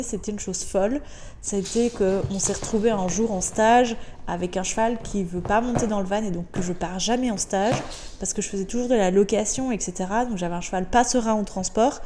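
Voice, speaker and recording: A woman's voice: medium pitch, warm tone, a little hiss or hum. A Belgian woman with a French accent hosting her own podcast, talking in a chatty, upbeat way with many filler sounds and repeated words. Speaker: podcast host. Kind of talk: casual solo podcast monologue. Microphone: podcast microphone.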